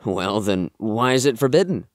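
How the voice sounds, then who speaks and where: A man's voice: lowish voice, animated, normal speed, no echo, studio quality, lightly acted. A man playing a curious, cheeky and stubborn young man in an audio drama.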